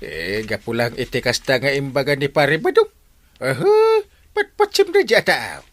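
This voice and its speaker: An actor playing an excitable village captain in a comedy radio drama, starting lines with "eh" and bursting into squeals and whoops. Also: medium pitch, moderate pace, no room sound, lightly acted. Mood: whiny, amused.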